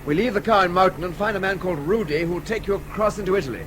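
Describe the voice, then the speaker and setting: Actor as brisk, dry-witted Englishman, slightly high voice. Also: noisy background, lightly acted. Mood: brisk, wry. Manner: clipped, wryly understated. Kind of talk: BBC radio drama acting.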